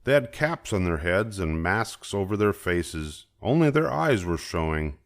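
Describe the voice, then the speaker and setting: Deep-voiced older man reading a storybook. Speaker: storybook reader. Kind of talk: children's story read-aloud narration.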